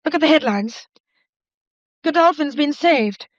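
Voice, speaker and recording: An actress playing an overwrought, nervy English wife in a radio mystery drama, frantic and halting. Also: female voice, sits high, lively intonation, no room sound. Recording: dull, muffled lo-fi audio.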